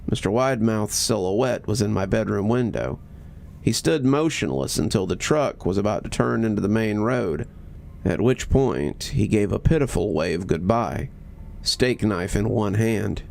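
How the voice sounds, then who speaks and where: Expressive, creaky-voiced male horror narrator. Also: lowish voice, normal speed. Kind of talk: dramatic scripted narration.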